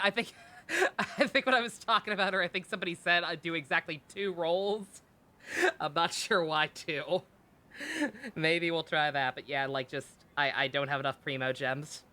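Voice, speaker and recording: Streamer: woman, slightly low bright voice, casual and irreverent, swears freely. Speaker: game livestreamer. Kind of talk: casual live stream commentary.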